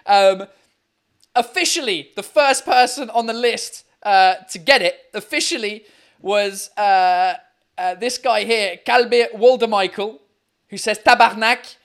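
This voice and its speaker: A man co-hosting a livestream quiz, chatting casually with viewers in a loud, laughing, swearing style full of fillers. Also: sits high, unhurried. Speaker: livestream co-host running a quiz.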